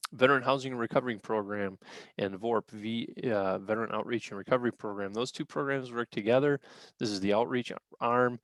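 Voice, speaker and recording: An American man giving an informal benefits briefing as a presenter, explaining in a halting way with frequent pauses mid-sentence. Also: fairly low pitch, no echo. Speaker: county veterans service officer presenting benefits. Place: virtual briefing, dry-sounding room.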